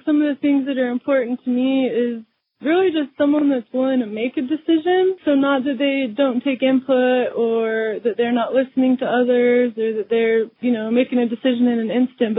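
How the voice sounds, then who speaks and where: Soft-spoken woman on a tinny line. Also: a little high, husky, dry recording. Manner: soft voice, many restarts and fillers. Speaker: guest. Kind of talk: conversational interview.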